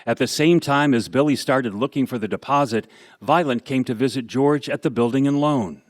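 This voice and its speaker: An actor playing a grave heavenly storyteller, giving scripted narration in a radio drama. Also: male voice, mid-range voice, moderate pace, lightly acted.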